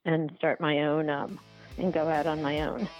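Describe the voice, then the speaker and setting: A woman being interviewed about her work, speaking reflectively and haltingly as she searches for words. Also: deep voice, steady tone, muffled recording, faint music behind. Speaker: documentary filmmaker, interview guest. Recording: roomy, band-limited remote-call sound.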